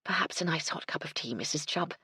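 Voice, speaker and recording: A woman's voice: low-pitched, animated, fairly quick, bright, forceful tone, slightly rough, dry recording, clean studio sound, full character voice. A woman voicing a composed, kindly but firm English lady in charge in a story. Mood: calm, probing.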